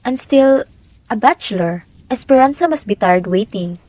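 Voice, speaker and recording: A woman's voice: a little high, animated, normal speed, a little mellow, no echo, close to an everyday voice, rough recording. A woman acting as a curious grown daughter talking with her father in an amateur radio drama.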